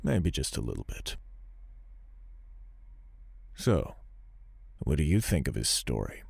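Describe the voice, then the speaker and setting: A man playing a stern, dryly sarcastic police lieutenant in an audio drama, speaking with measured authority. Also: extremely low pitch, huge pitch swings, gravelly, breathy edge, dry recording, heavily acted. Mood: dry, sardonic.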